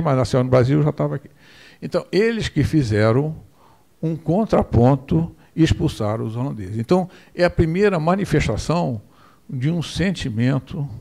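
An older Brazilian man giving a measured history lecture from a lectern microphone in a lecture hall, posing rhetorical questions and asking for the next slide. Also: mid-range voice, gravelly, mellow. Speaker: guest lecturer. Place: lecture hall with projector screen, indoors.

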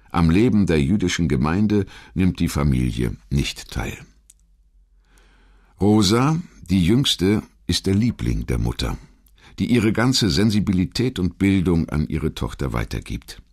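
A man reading scripted biographical narration in a measured, formal storytelling manner. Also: extremely low pitch. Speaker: documentary narrator.

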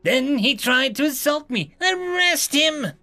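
A man voicing a shrill, entitled human woman who shouts her demands at an officer in an audio story. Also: expressive, a little slow, faint music behind, exaggerated voice. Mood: shrieking. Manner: shrieking, demanding, furious.

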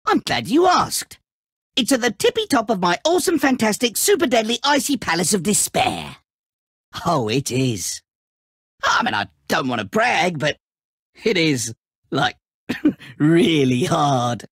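Husky high snowman trickster villain for a video game, gleeful n mocking, hammy as hell. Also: a bit bright, no echo, studio quality, heavily acted. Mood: boastful glee, then smug, teasing. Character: giddy, taunting all-powerful snowman trickster villain.

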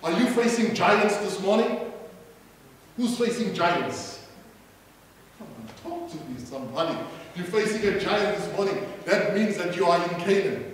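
A middle-aged South African man preaching a sermon from a church stage, rising to loud calls and prompting the congregation to answer. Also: sits high, expressive, measured pace. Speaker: pastor. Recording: echoey hall from far off.

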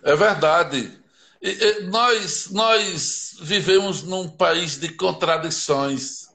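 A man with a Northeastern Brazilian accent speaks in a high, bright voice, with a slow and deliberate pace. He is a guest in a live interview over a video stream. He talks with passion and heavy emphasis and asks pointed rhetorical questions. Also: creaky. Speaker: guest writer.

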